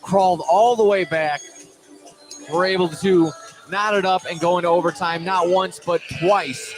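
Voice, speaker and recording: A man calling a live high school basketball game on radio, excitable and correcting himself as he goes. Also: unhurried, music in the background. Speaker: play-by-play basketball commentator. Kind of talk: live sports radio play-by-play.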